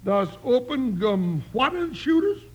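An actor playing a folksy, excitable cowboy deputy in an old radio western, squawky and puzzled in Western slang. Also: male voice, very high-pitched, unhurried, heavily acted. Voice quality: gravelly, squawky. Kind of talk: 1950s radio western acting. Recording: noisy old radio recording.

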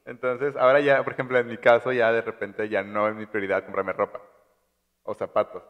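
A man from Chiapas, chatting relaxed on a podcast with lots of agreeing fillers. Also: on the low side, fairly quick, dull audio. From Chiapas, Mexico. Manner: relaxed, many "ajá" fillers. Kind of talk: casual podcast chat. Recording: long room reverb.

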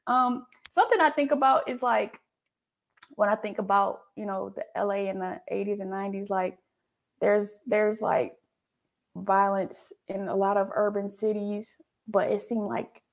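A woman's voice: medium pitch, steady tone, a little slow. A woman who hosts her own video interviews as an animator, speaking casually with slang and laughing easily. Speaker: host, animator.

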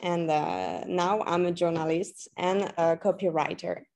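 A woman's voice: lowish voice, lively intonation, unhurried, gravelly, a little mellow, slight background noise. A woman in her late twenties with a French accent, a native French speaker, answering interview questions conversationally and searching for her words. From France and New Caledonia. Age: late 20s. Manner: hesitant, searching for words in a second language. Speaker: podcast guest, freelance journalist and copywriter.

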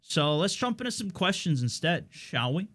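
A man's voice: a little high, very animated, moderate pace, a bit bright. A young man hosting his stream, chatting casually with viewers in a rambling way full of fillers and restarts.

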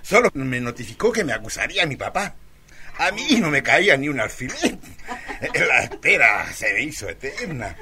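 An actor playing a grown son cheerfully reminiscing in casual Chilean-accented chatter in a radio drama. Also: male voice, mid-range voice, sing-song, normal speed, bright, forceful tone, heavily acted. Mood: amused, nostalgic. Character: grown son gleefully recalling childhood mischief.

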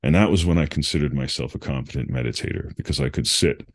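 A man's voice: very deep voice, fast. A middle-aged man from New England, a meditation coach, thoughtfully telling his life story as a podcast guest, with many fillers. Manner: thoughtful, rambling, with frequent fillers and laughs. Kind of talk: reflective conversational storytelling.

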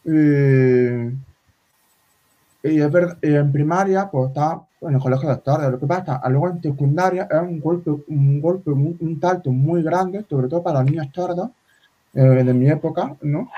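A man in his forties whose first language is sign language, talking in a casual interview with many halting restarts. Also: fairly high pitch. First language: Spanish Sign Language. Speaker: guest. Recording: slightly dull lo-fi sound.

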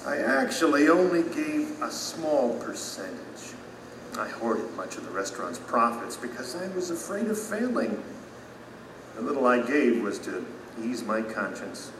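An actor playing a humble, remorseful citizen in an audio drama, earnest and confessional, in an echoing hall. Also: male voice, medium pitch, very animated, distant mic, background noise. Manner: earnest, confessional, thoughtful pauses. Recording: echoey hall, music behind.

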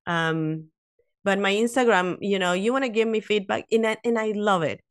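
A woman's voice: mid-range voice, moderate pace, clean tone. A woman in her fifties, not a native English speaker, chatting as a guest on a podcast and telling stories with many restarts and asides. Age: 50s. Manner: animated storyteller, frequent restarts and "you know". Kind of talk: casual interview chat.

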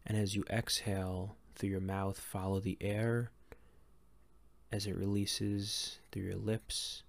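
A man leading a guided meditation in a gentle, calm, unhurried way with long pauses between phrases. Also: on the low side, creaky. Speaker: meditation guide and series host.

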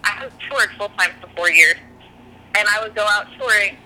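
A woman's voice: mid-range voice, moderate pace, pushed and bright, poor audio. A woman guest talking candidly and easily in a podcast interview, an author and singer. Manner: chatty and candid, laughs easily.

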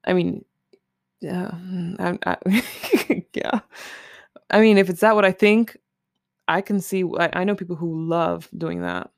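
Casual creaky-voiced host, close and dry. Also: mid-range voice, expressive, unhurried, dry recording. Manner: candid, informal, swearing. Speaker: solo podcast host. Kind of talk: casual rambling chat, commenting on a list.

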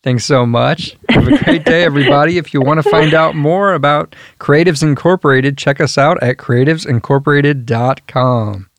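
A man hosting a podcast interview, soft-spoken and encouraging, with frequent filler words. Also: on the high side, moderate pace, few pauses, no room sound. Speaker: podcast host.

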